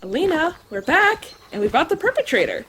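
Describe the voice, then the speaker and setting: Woman as an animated, loyal, fair-minded friend. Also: extremely high pitch, a little fast, soft background music, full character voice. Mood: alert. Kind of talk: audio drama acting.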